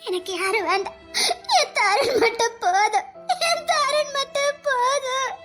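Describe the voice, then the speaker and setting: A performer gives voice to a heartbroken young woman in a family melodrama, crying and begging her brother to wake up. The voice sits very high and bright, with slow, drawn-out sobbing lines. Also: steady tone, soft background music, heavily acted. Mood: sobbing, pleading. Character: distraught young woman weeping over her injured brother. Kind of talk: melodramatic serial acting.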